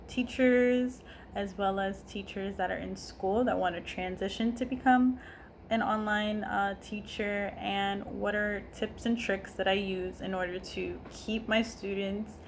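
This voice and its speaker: A young woman in her twenties speaks to camera at an unhurried, easy pace, her pitch sitting in the middle of the range. She sounds earnest and heartfelt, often asking herself questions before answering them. A faint hum of noise sits under her voice. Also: gravelly. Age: 20s. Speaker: online language teacher. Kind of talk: casual talk to camera.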